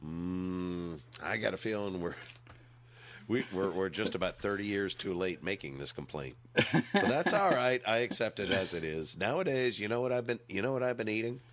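A man's voice: lowish voice, animated, moderate pace, fairly bright tone. A middle-aged man hosting a podcast in casual comedic chat, with wry mock-announcer asides, on a noisy recording. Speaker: podcast host.

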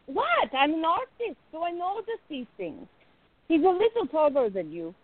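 A woman playing a playful, affectionate young artist in love in a radio play, with a teasing, expressive delivery. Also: very high voice, normal speed, no echo. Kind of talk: amateur radio play acting. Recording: thin phone-like audio, dry.

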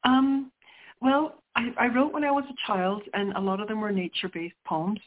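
A woman's voice: mid-range voice, steady tone, normal speed. Reflective middle-aged woman on a phone line. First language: Sicilian. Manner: reflective, searching for words with restarts. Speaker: poet guest. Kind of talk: interview conversation.

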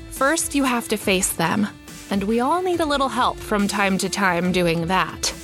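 A woman reading a scripted motivational promo, confident and encouraging. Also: fairly high pitch. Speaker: ad speaker. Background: music.

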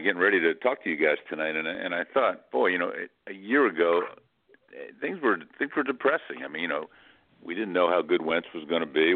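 A man talking sports casually as a radio guest, halting and often repeating words as he restarts. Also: low voice, narrow, tinny audio.

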